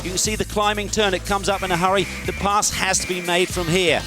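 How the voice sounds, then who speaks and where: A man's voice: high voice, steady tone, a little fast, over music. A man narrating a scripted voice-over that walks through a race circuit corner by corner. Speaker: race analyst. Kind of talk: scripted track description voice-over.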